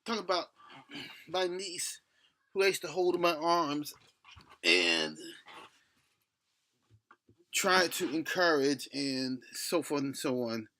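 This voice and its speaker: A man's voice: a little high, sing-song, takes it slowly, long pauses, bright, forceful tone. A middle-aged man with a lisp delivers a rambling, blunt opinion rant, cursing and breaking off mid-thought. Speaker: solo video blogger.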